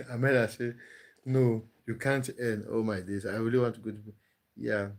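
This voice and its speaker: A man's voice: lowish voice, flat delivery, unhurried. Chatty man hosting a late live stream. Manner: chatty, laughing, answers viewer comments one by one. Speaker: live-stream host reading chat. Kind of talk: casual live-stream chat.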